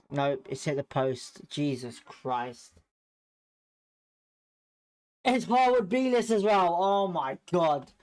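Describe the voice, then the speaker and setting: A man with a British accent giving informal live football commentary as a fan host, blunt, slangy and sweary in his reactions. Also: on the high side.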